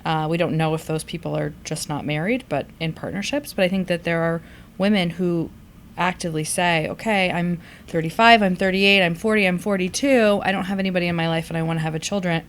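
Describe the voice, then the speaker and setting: A woman's voice: lowish voice, fairly even intonation, fairly quick, a little hiss or hum. A woman hosting a conversational podcast, reflective and exploratory as she puts questions to others. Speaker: podcast host.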